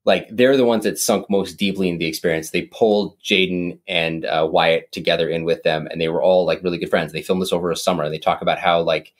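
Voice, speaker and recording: A man's voice: a little fast, few pauses. A man co-hosting a podcast, chatting casually and at length about a film, with lots of fillers and restarts. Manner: animated, rambling, mimics voices with big pitch jumps. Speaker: podcast co-host. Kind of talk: casual movie discussion.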